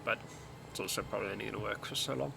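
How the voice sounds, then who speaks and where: A man's voice: fairly low pitch, racing, creaky. A man giving casual, chatty commentary as he streams a video game. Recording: steady background noise.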